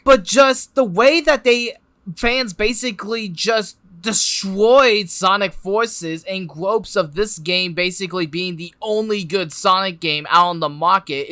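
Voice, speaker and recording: A video game reviewer narrating an enthusiastic scripted review in an animated, exclamatory and emphatic way. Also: mid-range voice, no echo. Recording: music playing behind. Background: music.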